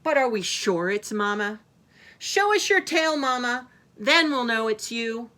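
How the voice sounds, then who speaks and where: A wary little storybook goat kid, acted by a woman. The voice is raised and childlike, with emphatic, lively delivery. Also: a little high, measured pace, voice pushed up. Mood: wary, curious. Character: wary young goat kids, brother and sister.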